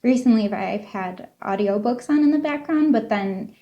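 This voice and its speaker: Mellow-voiced woman hosting an interview. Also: fairly high pitch, lively intonation, moderate pace, lo-fi sound. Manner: affirming, chatty, asks long questions. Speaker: interview host. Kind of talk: casual interview chat.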